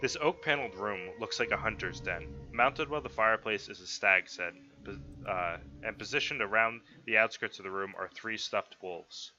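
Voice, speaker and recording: A man with a very low voice runs a tabletop game, reading out scenes and chatting casually with players. The recording is slightly dull, with music playing clearly behind him. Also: moderate pace, hardly any pauses, pushed and bright. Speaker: game master. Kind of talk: tabletop roleplay narration with casual table chat. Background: background music.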